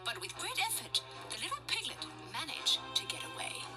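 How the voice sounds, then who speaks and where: A woman storyteller narrating a children's tale expressively, with dramatic, deliberate phrasing. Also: very high-pitched, huge pitch swings. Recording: loud music under the voice. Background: music.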